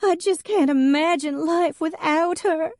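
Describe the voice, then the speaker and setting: A woman's voice: extremely high pitch, lively intonation, slow, a little mellow, no echo, clean studio sound, voice pushed up, heavily acted. A woman reading an audiobook, voicing a weepy, clingy young woman who wails dramatically. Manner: sobbing, wailing.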